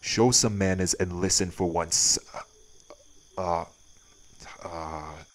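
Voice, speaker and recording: A man's voice: sits low, slow, a little hiss or hum. A man playing a weary old male wraith in an audio roleplay, with a Southern US accent and a stern, folksy manner. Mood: exasperated. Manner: folksy, stern, exasperated drawl. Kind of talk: dramatic audio roleplay acting.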